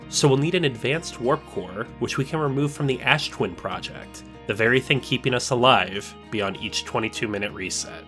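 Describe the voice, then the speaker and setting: A man giving scripted, measured voice-over narration for a video essay, slowing down for emphasis, with soft guitar music behind. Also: medium pitch.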